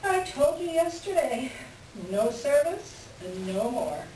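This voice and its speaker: A woman's voice: high voice, sing-song, slow pace, warm tone, heavily acted. A woman playing a blunt, fed-up secretary in a staged play reading, curt and matter-of-fact. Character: blunt, fed-up female secretary, unimpressed by her boss. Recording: very echoey room, distant mic, faint noise.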